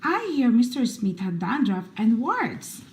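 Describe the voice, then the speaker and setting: A woman hosting a read-aloud for children, reading picture books in an expressive, deliberate bedtime storytelling style. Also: on the high side. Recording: roomy echo, faint background noise.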